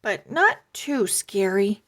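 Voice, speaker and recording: Woman doing a jumpy kid boy for a storybook, high and lively, breathy. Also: slow pace, full character voice. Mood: eager. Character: eager young boy, curious and jumpy.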